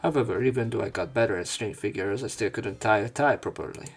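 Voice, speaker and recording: A man's voice: on the low side, fast, slightly rough, faint music behind. A man reading visual novel narration aloud as a let's play commentator, dropping in casual, wry asides.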